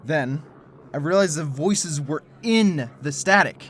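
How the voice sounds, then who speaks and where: A man playing an earnest, anxious male conspiracy theorist in an audio drama, halting and stammering when worried. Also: fairly high pitch, lively intonation, fairly bright tone.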